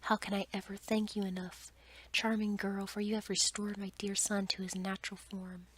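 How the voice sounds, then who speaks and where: A woman voicing a grand, grateful queen in a breathy whisper for a storybook fairy tale. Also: medium pitch, level tone, moderate pace, slight background noise, close to an everyday voice.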